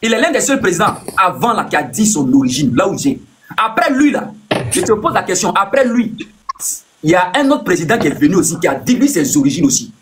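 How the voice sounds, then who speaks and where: A man's voice: high voice, big pitch swings, fast talker, noisy background. A man hosting a heated live debate, repeating himself and cutting in to press his point. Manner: insistent, repeats words, cuts in. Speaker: live stream host.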